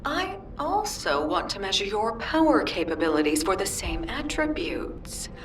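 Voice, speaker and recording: An actress playing an earnest, composed scientist in a video game, speaking formally in measured, clinical phrases. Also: female voice, medium pitch, sing-song, a little hiss or hum. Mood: businesslike. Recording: roomy, distant, loud music behind.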